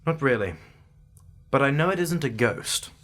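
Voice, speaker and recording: An actor playing a brusque, secretive agent in an audio drama, speaking in a clipped, formal, scornful manner. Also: male voice, mid-range voice, sing-song, moderate pace, a bit bright. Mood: dismissive. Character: brusque, secretive male agent, impatient and dismissive.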